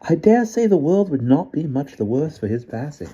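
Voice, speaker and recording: A man voicing a short, slight, sinister old man who speaks softly with a faint British accent. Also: fairly high pitch, sing-song, normal speed, voice pushed up. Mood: coaxing menace. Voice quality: soft, smooth and coaxing. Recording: dull lo-fi recording.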